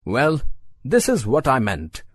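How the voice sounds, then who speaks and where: A woman reads a story and gives a polite foreign businessman a lowered, slow voice. He is courteous and coaxing, with a husky, dry sound. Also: huge pitch swings, dry recording, heavily acted.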